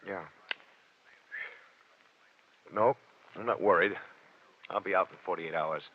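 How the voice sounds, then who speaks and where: An American actor playing a brash, defiant, shady nightclub boss in a 1940s radio crime drama, curt and streetwise. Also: male voice, deep voice, moderate pace, husky. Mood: resigned, cocky. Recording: dull vintage 1940s radio recording.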